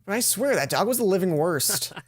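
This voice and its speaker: A woman's voice: medium pitch, expressive, fairly quick. A woman hosting a let's play, reading a visual novel's narration aloud and sometimes stumbling and rereading lines.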